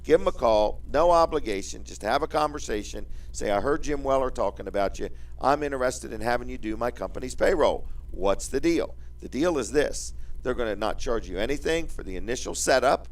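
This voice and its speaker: A man's voice: mid-range voice, lively intonation, fairly quick, few pauses, far from the mic. An American man hosting a casual promotional radio chat in a folksy, repetitive salesman's manner with joking questions. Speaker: radio show host and business owner.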